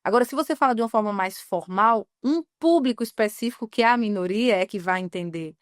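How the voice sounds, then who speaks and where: Smooth-voiced woman journalist, reflective. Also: medium pitch, no room sound, clean studio sound. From Northeast Brazil. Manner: earnest, slows down on key words. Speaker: journalist guest. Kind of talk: reflective interview talk.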